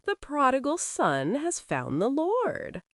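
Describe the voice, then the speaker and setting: A woman voicing a nosy, scheming small-town churchwoman with pointed, drawn-out delivery in a Western audio drama. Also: sits high, wildly swooping pitch, no echo, clean studio sound, pitched above the performer's own voice, heavily acted. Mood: arch.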